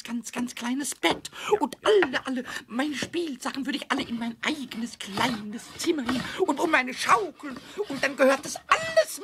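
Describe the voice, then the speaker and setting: A man playing a tiny, childish, cheeky kobold in a children's radio play, squeaky and whiny, stammering and repeating words excitedly. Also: background noise, full character voice. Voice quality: squeaky, shrill.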